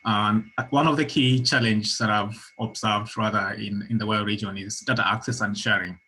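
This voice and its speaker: A man's voice: on the low side, fairly even intonation, moderate pace, bright, forceful tone, slightly rough, poor audio. A man from Kenya speaking in an online meeting, often repeating words as he looks for the right phrasing. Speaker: meeting participant.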